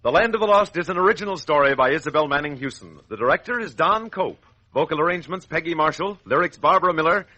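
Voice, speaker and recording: A hearty American man works as an announcer in 1940s children's radio, selling every phrase. His voice is fairly high and bright, with lively swings in pitch. It comes across on an old, dry radio recording. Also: quick pace, low-quality recording. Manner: hearty, salesmanlike delivery.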